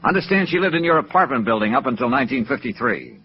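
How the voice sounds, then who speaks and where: An American man playing a brisk, hard-boiled insurance investigator in a 1950s radio noir drama, clipped and matter-of-fact. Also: mid-range voice, animated, natural voice. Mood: probing. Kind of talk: 1950s radio noir acting and first-person narration. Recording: muffled vintage radio recording with music.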